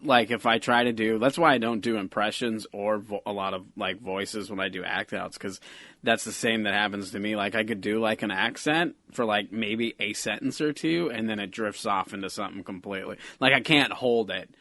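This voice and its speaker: A man hosts a comedy podcast in a bright, forward voice of middle pitch. He is easygoing and laughs along with his guest. The recording is dry and close to the mic. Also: moderate pace, dry recording. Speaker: podcast host. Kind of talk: casual podcast chat.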